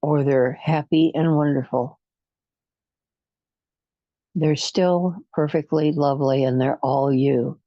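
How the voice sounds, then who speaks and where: A guide leading a guided imagery relaxation session, speaking in a soothing, hypnotic way with long pauses and slow counting, on a dull lo-fi recording. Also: mid-range voice, steady tone, no echo.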